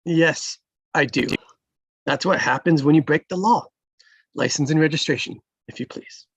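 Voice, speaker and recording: A man reading the part of a friendly, awkwardly flirty traffic cop in a live table read, halting and earnest with dry jokes. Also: a little high, gravelly, husky, no room sound, muffled recording. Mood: dry, official.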